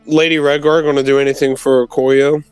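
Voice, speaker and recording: A man's voice: mid-range voice, flat delivery, normal speed, soft background music. A man chatting casually as a player in a tabletop roleplaying game.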